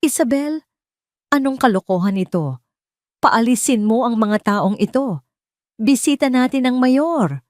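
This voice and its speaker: A man's voice: very high-pitched, animated, measured pace, pauses often, a little mellow, no echo, studio quality, voice pushed up. A woman voicing an arrogant rich husband, a cheat, in a Tagalog audio story. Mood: outraged. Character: boastful cheating rich husband, angry then desperately begging.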